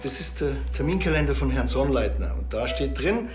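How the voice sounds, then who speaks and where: An actor with a Bavarian accent playing a grumbling, deadpan know-it-all policeman in a TV crime comedy. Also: medium pitch, fairly quick, music in the background, lightly acted. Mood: probing. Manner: dry, deadpan, needling. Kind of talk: naturalistic TV crime comedy acting.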